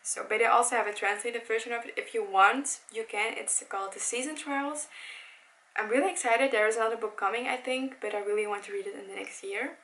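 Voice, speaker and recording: Young woman in her twenties, first language Dutch, easygoing and excitable, chatty vlog delivery with lots of fillers and restarts. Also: a little high, brisk, low-quality recording. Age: 20s. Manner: chatty, enthusiastic, with frequent self-corrections and "like". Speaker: book vlogger. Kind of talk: casual chat. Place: home room by a bookshelf.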